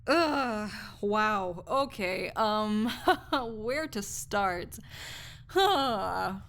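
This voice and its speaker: A woman's voice: medium pitch, animated, drawn-out words, a bit bright, exaggerated voice. A woman playing a snarky, skeptical university research assistant in an audio roleplay, speaking articulately in a conversational, lecturing way with dry asides. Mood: skeptical, teasing.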